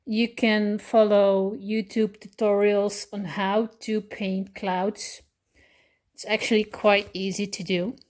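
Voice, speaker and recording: A woman with a Dutch accent casually explaining a craft project, pausing often with fillers and correcting herself as she goes. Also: medium pitch. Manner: hesitant, many pauses and fillers, self-correcting. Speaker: hobby crafter showing a miniature build. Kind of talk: casual show-and-tell explanation.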